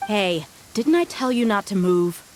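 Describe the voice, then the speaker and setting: A woman's voice: medium pitch, animated, a little slow, noisy background, close to an everyday voice. A woman playing an easygoing, practical young female mercenary in a game, speaking in a casual, frank way. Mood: serious.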